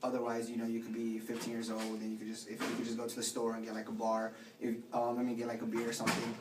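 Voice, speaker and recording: A young man from Colombia, a student giving a relaxed, casual interview answer with frequent ums. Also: medium pitch, quick pace, background noise. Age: young adult. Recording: distant mic in echoey crowded place.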